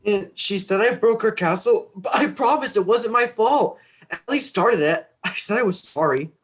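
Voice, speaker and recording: A man's voice: sits high, expressive, moderate pace. Man playing an indignant, sulky little boy. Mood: defensive. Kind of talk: dramatic script reading.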